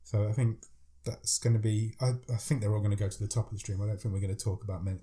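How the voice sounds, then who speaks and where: A man with a cold co-hosts a podcast. He talks fast in a low, mellow voice, and he gets eager as he reads out film blurbs. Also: flat delivery. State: has a cold, deeper voice. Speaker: podcast co-host. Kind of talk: casual chat about films.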